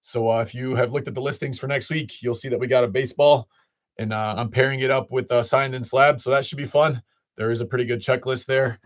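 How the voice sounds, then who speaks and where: A man's voice: mid-range voice, steady tone, fairly quick, dry recording, studio quality. A man from Illinois chatting casually as host of a live sports card break, greeting viewers by name, his voice a little off. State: voice slightly off. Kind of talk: casual livestream chat.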